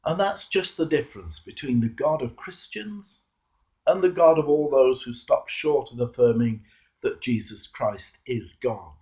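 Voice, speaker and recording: A man reading a devotional sermon as a clergyman, measured and earnest, with emphatic pauses. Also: mid-range voice, lively intonation, warm tone. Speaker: Anglican clergyman, preacher. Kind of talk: devotional sermon reflection, read aloud.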